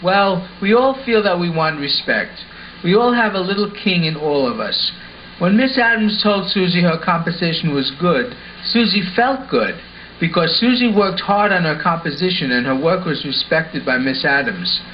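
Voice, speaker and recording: A man's voice: sits high, expressive, normal speed. A man playing an earnest, sensible schoolboy in a scripted puppet show, explaining things instructively. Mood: patient, explanatory. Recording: dull lo-fi sound with faint noise.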